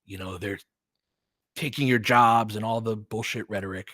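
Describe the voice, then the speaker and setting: A man's voice: on the low side, fairly even intonation, normal speed, bright, forceful tone, no echo, clean studio sound. A man hosting a sports podcast, chatting off the cuff in an earnest, rambling, freely profane way. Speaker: podcast host and sports play-by-play streamer. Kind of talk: unscripted casual chat with listeners.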